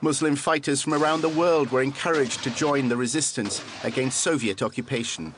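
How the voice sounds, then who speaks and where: Measured male documentary narrator. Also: mid-range voice, background noise. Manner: measured, probing questions.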